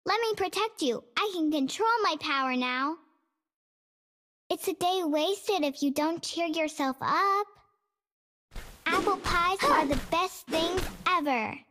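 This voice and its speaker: A sweet little cat-eared forest girl from a video game, squeaky and very high. She speaks slowly and giggles often, with clear noise behind her. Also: pushed and bright, full character voice.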